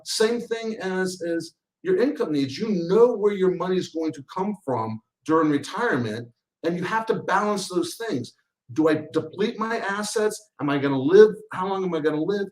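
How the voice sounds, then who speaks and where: A man in his sixties with a slightly higher-than-usual voice walks through slides in a webinar. He talks like a chatty financial advisor, explaining step by step and often restarting his phrases. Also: poor audio.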